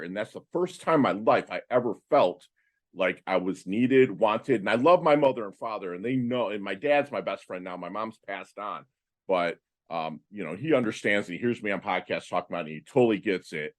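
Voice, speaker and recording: A man of fifty speaks as a guest on a podcast, his voice sitting a little low. He tells his story in a loose, animated way, full of fillers and restarted phrases. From Philippines. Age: 50s. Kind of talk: casual interview talk.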